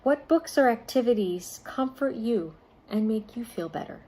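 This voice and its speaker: A woman reading aloud and talking directly to children as a storytime volunteer, in deliberate, carefully phrased speech with short pauses. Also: on the high side, animated, dark tone, slightly rough.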